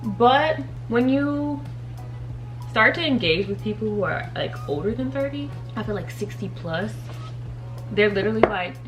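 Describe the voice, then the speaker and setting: A woman's voice: fairly high pitch, animated, moderate pace, over music, poor audio. A thirty-year-old woman chatting casually while doing her makeup, giggling often and talking straight to her viewers. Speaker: beauty and faith vlogger. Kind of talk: casual makeup tutorial chat.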